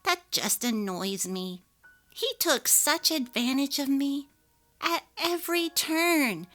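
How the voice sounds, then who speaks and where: A woman's voice: high voice, expressive, slow, a bit bright, voice pushed up, exaggerated voice. A woman voicing an earnest, resolute young wife and mother in a dramatically read story, with emphatic, heartfelt delivery. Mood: annoyed. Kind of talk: dramatic reading of a text-message story. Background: music.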